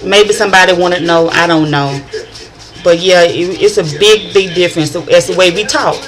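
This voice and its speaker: A woman's voice: fairly low pitch, normal speed. A middle-aged woman from northern Louisiana with a country accent, rambling in a chatty vlog monologue and often restarting her sentences. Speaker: vlogger.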